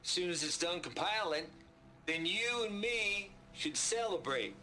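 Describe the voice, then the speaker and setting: A folksy Southern prankster, boisterous and irreverent. His high, bright voice takes its time in a slow theatrical drawl, as in a video game cutscene. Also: lively intonation, heavily acted. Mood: playful.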